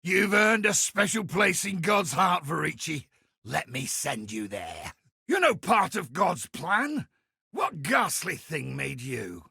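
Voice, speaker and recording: A man voicing a zealous, wry templar priest in a video game, with a gravelly, raspy voice and a preachy, theatrical delivery. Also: fairly high pitch, big pitch swings, slow, sharp tone, no echo, studio quality, heavily acted. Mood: righteous, menacing. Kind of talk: video game character voice acting.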